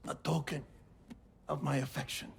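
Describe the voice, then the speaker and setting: An actor playing an earnest, gentle middle-aged scientist in a cinematic video game, speaking in a deliberate, formal, explanatory way. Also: male voice, on the high side, level tone, creaky, slight background noise. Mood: tender. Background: music.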